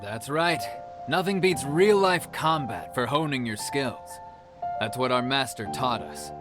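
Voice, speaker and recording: An actor playing a proud, earnest young martial arts disciple who explains things in a video game. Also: male voice, medium pitch, a little slow, music in the background, lightly acted.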